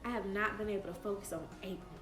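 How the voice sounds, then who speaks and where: A woman's voice: mid-range voice, fairly even intonation, fast talker, roomy sound, music in the background. A woman in her late twenties telling a personal story on her vlog, earnest and emphatic, repeating phrases to drive her point home. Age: 20s. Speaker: vlogger.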